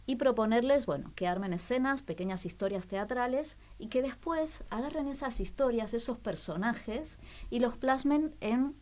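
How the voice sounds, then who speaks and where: A woman's voice: on the high side, normal speed, a little mellow. A woman with a Rioplatense Argentine accent presenting on a radio show in a casual, explanatory way, often checking in with listeners. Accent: Rioplatense Argentine Spanish.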